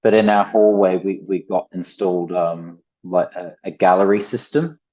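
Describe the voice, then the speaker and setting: Man, thirties, Australian accent, very low voice, casual conversation, dull lo-fi audio. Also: normal speed, dark tone. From Gold Coast, Australia. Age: 30s. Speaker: podcast guest, artist. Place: at home, main living space.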